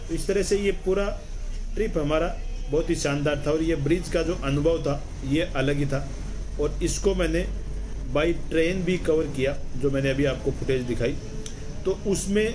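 A man's voice: fairly high pitch, normal speed, background noise. A man from Rajasthan narrating a travel vlog voice-over, enthusiastic and explaining things at length with many repeats. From Rajasthan, India. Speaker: travel vlogger.

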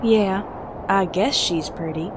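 A woman's voice: mid-range voice, takes it slowly, noisy background, close to an everyday voice. A woman playing a blunt, forward young woman, direct and matter-of-fact, in a comic dub. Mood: probing. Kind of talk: amateur comic dub acting.

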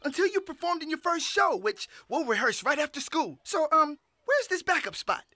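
A woman's voice: sits high, normal speed, heavily acted. A woman voicing an eager, upbeat tween boy who talks in slang. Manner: excited, slangy.

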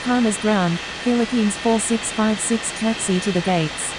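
Clear hiss and noise surround a woman acting as a game's airport radio controller. Her delivery is clipped and matter-of-fact, in scripted aviation phraseology at a medium pitch. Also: a little slow, lightly acted. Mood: businesslike. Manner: clipped, formulaic. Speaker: air traffic control and pilot radio voice. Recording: hissy, clearly noisy radio-style audio.

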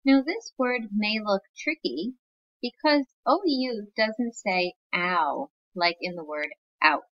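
Woman teacher, read-aloud for children, patient sounding out, lively pitch, dull lo-fi sound. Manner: slow, patient, sounding out words. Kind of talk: reading aloud to young children.

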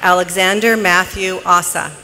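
An announcer reading formally at a graduation ceremony in a large arena, with measured, deliberate enunciation. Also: medium pitch, fairly even intonation, over music. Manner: measured, deliberate enunciation with pauses between names. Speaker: commencement name announcer. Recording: noisy arena PA with crowd behind. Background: crowd noise.

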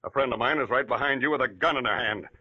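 An actor playing a bossy, scheming crook with urgent, barking delivery in 1940s radio crime drama. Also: male voice, medium pitch, fast talker, slightly rough, natural voice. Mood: threatening. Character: hard, scheming male crook, cornered and bossy. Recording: dull old radio recording.

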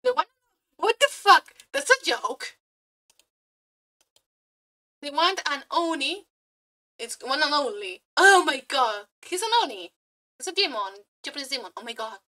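A woman giving a casual live reaction as a streamer, excitable and giggly, swearing freely like a fangirl. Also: sits high, unhurried, pauses often. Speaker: streamer reacting to game character demo videos. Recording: music behind the voice.

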